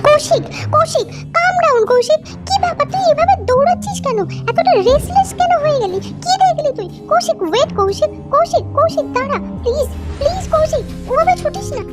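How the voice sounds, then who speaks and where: A woman's voice: extremely high pitch, animated, brisk, hardly any pauses, over music. An actress playing a young woman doctor in a cartoon horror story, worried, irritable and dramatic. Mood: panicked. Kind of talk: acted Bengali cartoon horror story dialogue.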